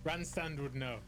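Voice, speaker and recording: Chatty British man streaming a game. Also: fairly high pitch. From northern UK. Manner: chatty, laughs easily. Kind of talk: live gameplay chat. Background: game music.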